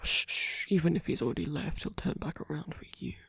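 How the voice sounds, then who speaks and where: A performer voicing a sweet, doting male vampire boyfriend in a breathy, hesitant ASMR whisper. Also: medium pitch, no room sound. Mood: tender, reassuring. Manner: hesitant, halting, rambling, with soft filler murmurs. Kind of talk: ASMR roleplay, soft whispered comforting.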